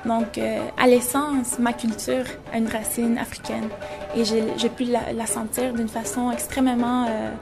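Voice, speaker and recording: A woman's voice: on the high side, level tone, a little slow, creaky, over music. A woman in her twenties from Venezuela, a photographer and journalism student, speaking reflectively in an interview and searching for her words. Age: 20s.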